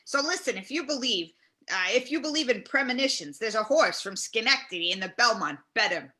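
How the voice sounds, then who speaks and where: Woman playing a sharp, exasperated sports radio host. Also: on the high side, expressive, brisk. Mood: mocking. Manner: exasperated, blunt, repeats questions. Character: irritable, incredulous male sports radio host in his sixties. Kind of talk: comedy table read of sports talk radio.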